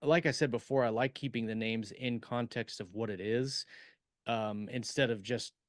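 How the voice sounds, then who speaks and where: A man co-hosting a writing podcast in casual shop talk, with a halting way of circling back to rephrase. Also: mid-range voice, dry recording, clean studio sound. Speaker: podcast co-host and science fiction writer.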